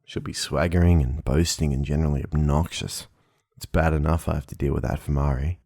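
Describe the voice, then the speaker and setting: A man's voice: extremely low pitch, fairly even intonation, fairly quick, gravelly, warm tone, breathy edge, no room sound, exaggerated voice. A man playing a wry, teasing soldier with a dry, sardonic manner in a fan audiobook. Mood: mock grumbling. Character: teasing, wry male soldier, fond grumbler.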